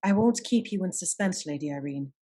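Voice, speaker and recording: A woman acting as a shrewd Edwardian lady detective in a radio play, measured, polite and decisive. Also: fairly low pitch, lively intonation, dark tone, slight background noise, lightly acted. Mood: grave, courteous.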